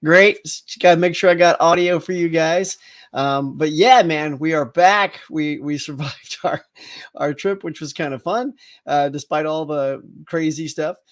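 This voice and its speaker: A man's voice: on the high side, measured pace. A middle-aged man hosting a casual live morning chat, slightly congested and rambling with fillers as he talks to viewers. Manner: rambling, chatty, lots of fillers and restarts.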